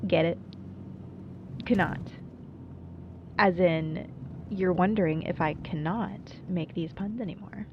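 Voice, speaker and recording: A woman's voice: fairly low pitch, slow, pauses often, highs cut off, noisy background. A woman playing a wry, playful small-town late-night radio host in a scripted monologue, chatty and dryly self-deprecating. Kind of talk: scripted radio show monologue, audio roleplay.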